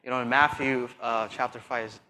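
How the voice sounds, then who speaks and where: A soft-spoken man giving a sermon and reading Bible verses, hesitant with fillers and restarts, pressing hard on key phrases. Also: mid-range voice, fairly even intonation, moderate pace, low-quality recording. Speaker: church speaker.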